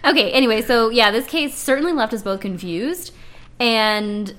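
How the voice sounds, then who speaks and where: A woman with a high-pitched voice co-hosting a true-crime podcast, playful and laughing often. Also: normal speed, fairly bright tone. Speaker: podcast co-host. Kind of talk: casual banter about a true-crime case.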